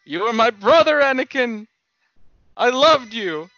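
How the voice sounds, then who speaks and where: A woman's voice: on the high side, expressive, measured pace, a bit bright, lo-fi sound, faint music behind. A woman playing a stern, grieving male warrior mentor in an amateur drama, solemn and theatrical. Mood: anguished.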